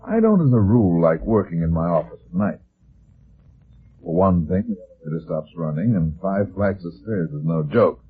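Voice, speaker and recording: A man's voice: low voice, very animated, moderate pace, slightly rough, full character voice. A man playing a wry, world-weary fat private detective in an old-style radio drama, with dry, clipped tough talk. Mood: wry, measured. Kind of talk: 1940s-style radio detective acting and narration. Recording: dull, lo-fi old radio recording.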